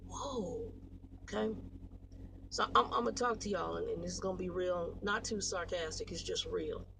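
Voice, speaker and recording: An American woman in her late forties talks casually to her viewers, rambling through stories in a blunt, animated, slangy way. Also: on the low side, normal speed, creaky, background noise. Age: 40s. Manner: blunt, animated storytelling with slangy, salty language. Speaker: truck driver vlogger.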